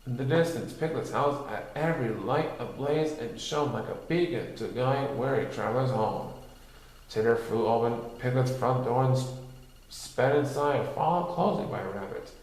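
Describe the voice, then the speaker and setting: A man reading a children's story aloud as narrator, in an expressive storytelling style. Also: mid-range voice, moderate pace. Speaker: storybook reader. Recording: very echoey, reverberant room.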